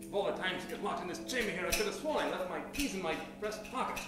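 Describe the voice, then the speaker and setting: Male actor as terrified warden, very high voice, stammering, melodramatic radio horror, slightly distant and echoey. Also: lively intonation, quick pace. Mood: frantic, trapped. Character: panicked prison warden, seized by an unseen controlling force. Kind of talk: old-time radio horror acting. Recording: echoey stage, slightly distant, music underneath.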